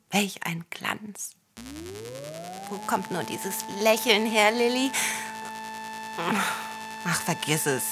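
An actress in a radio play playing a cheeky, self-mocking housemaid with a sardonic manner. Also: female voice, medium pitch. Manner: talking to herself, sardonic. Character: self-mocking young housemaid, cheeky behind her mistress's back. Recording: music bed behind the voice.

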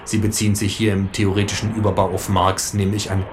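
A man's voice: on the low side, little pitch movement, fairly quick, noisy background, natural voice. A man doing a pompous art critic. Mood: pretentious. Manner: pompous, lecturing. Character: pompous male art critic, pretentious and booming.

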